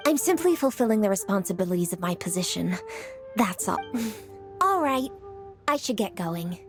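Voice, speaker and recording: A woman's voice: medium pitch, big pitch swings, normal speed, over music. A woman playing a poised, dutiful young female official in a video game, speaking formally and articulately. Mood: composed, informative. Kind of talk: scripted video game dialogue.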